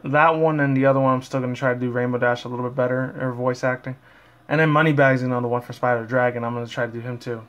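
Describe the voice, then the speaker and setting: A man casually hosting and reading a story aloud, rambling and often stumbling and correcting himself. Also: mid-range voice. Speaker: amateur fan fiction reader and video host. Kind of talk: casual intro and halting story read-aloud.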